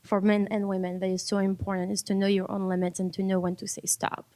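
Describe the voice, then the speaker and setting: A woman's voice: mid-range voice, level tone, fast, warm tone. A woman from France, speaking reflectively on a public panel and often repeating words as she looks for the next one. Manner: reflective, repeats words while finding them. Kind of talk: panel discussion.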